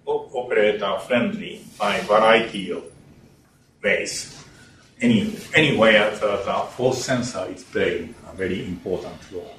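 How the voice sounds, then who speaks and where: An older man giving an engineering lecture in English with non-native phrasing, speaking deliberately and stressing key words. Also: lowish voice, a bit bright. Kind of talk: academic lecture. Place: lecture room. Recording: roomy, distant lecture hall capture with faint room noise.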